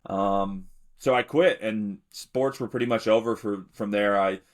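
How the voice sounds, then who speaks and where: Laid-back man, lowish voice. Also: unhurried. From Alabama, United States. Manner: relaxed, rambling, many fillers and restarts. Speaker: podcast guest. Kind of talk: casual interview storytelling.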